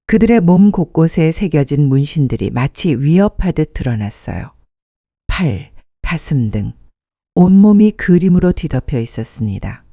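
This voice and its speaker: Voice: mid-range voice, very animated, normal speed, mellow, no echo. A narrator reading a scripted dramatic story as a storyteller. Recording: muffled dry studio sound.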